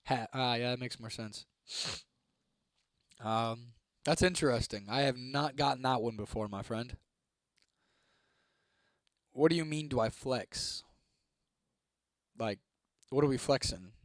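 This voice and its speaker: A young man from Ohio, chatting casually on a live stream, laid-back and swearing freely, his voice a little hoarse. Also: mid-range voice, unhurried, long pauses, no echo, clean studio sound. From Toledo, Ohio, United States. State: voice getting hoarse. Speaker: livestreamer. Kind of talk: casual live-stream chat reading comments.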